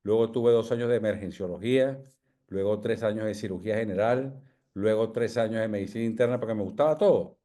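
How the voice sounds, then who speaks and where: Older Venezuelan man, low voice. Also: mellow. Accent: Venezuelan Spanish. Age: 60s. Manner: measured, humble, many fillers and tag questions. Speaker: physician guest. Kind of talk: reflective interview answers.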